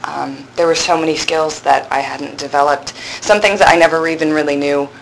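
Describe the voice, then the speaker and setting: A young woman in her twenties with a low, resonant voice, a drama graduate student answering interview questions thoughtfully, with frequent pauses and restarts. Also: steady tone, creaky, slight background noise, low-quality recording. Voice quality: low voice with strong body resonance. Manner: thoughtful, hesitant, many fillers and restarts. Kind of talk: reflective conversational interview.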